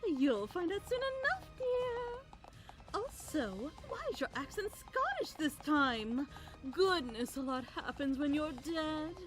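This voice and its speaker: Woman acting high, lilting, teasing lady ghost. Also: very animated, slow, exaggerated voice. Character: breezy, teasing female ghost of a murder victim. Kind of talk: animated fan-drama voice acting. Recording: clear background music.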